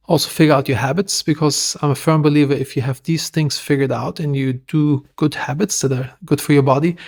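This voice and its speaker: A man with a German accent, a podcast guest telling stories in a lively, self-deprecating way. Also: mid-range voice. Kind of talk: casual interview talk.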